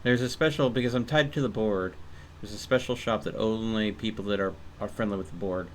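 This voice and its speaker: A man's voice: on the low side, fairly quick. Male streamer doing casual, think-aloud gameplay commentary over a faint hiss. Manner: thinking aloud, mild frustration. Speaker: game streamer.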